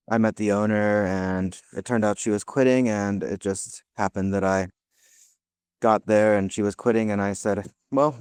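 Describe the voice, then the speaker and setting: Low smooth-voiced American man, hesitant. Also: darkish tone, no echo. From United States. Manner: hesitant, many fillers and restarts. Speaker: guest, laundromat owner. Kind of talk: casual interview answers.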